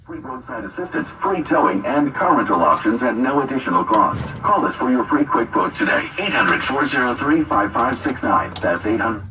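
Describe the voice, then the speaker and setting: A man reading a scripted sales pitch as an advertisement announcer, heard through a radio speaker. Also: expressive, moderate pace, few pauses. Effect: radio speaker playback. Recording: distant, noisy playback through a radio speaker.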